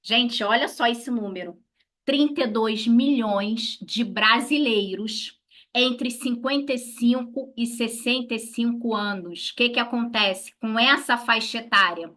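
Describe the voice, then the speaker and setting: Brazilian woman, lawyer-instructor. Higher-pitched, bright, forceful delivery; didactic, question-and-answer teaching style. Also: unhurried. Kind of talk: instructional talk to colleagues.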